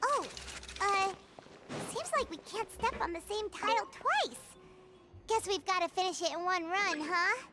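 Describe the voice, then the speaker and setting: A woman voicing a small, excitable, childlike fairy companion in a video game, chirpy and squeaky. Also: unhurried, over music. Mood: musing. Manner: exclamatory, chirpy, speaks of self in third person. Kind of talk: animated video game character acting.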